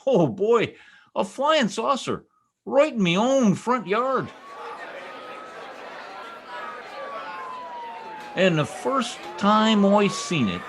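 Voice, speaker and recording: A man's voice: sits high, background noise, exaggerated voice. A man playing a blustery, boastful small-town husband in old-time radio comedy, with folksy exclamations. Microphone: video call microphone. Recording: video call recording.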